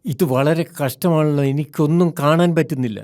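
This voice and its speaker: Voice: mid-range voice, brisk, a little mellow, no room sound, clean studio sound, lightly acted. An actor voicing a hapless, mild-mannered father in a children's cartoon, plaintive and slightly comic. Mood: helpless.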